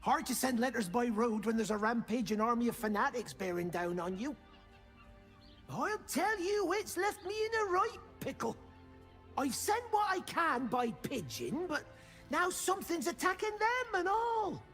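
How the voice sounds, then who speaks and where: Game role: shifty British postmaster, high voice, lilting grumbles over music. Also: male voice, big pitch swings, normal speed, fairly bright tone, exaggerated voice. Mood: harried, grumbling. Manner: grumbling, colloquial. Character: harried, chatty, shifty postmaster. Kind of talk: video game character acting.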